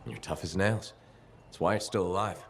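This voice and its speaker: An actor playing a steady, frank, reassuring freelancer hero in a video game, speaking in a plain conversational way. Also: male voice, sits low, normal speed, lightly acted. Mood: sympathetic, frank. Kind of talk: video game dialogue acting. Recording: clear ambient noise behind the voice.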